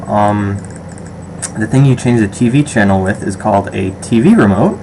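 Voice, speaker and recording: A man's voice: lowish voice, moderate pace, noisy background. A young man with a western New York American accent rambles casually through a survey vlog, full of ums and restarts. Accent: western/upstate New York American. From western New York, USA. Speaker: vlogger.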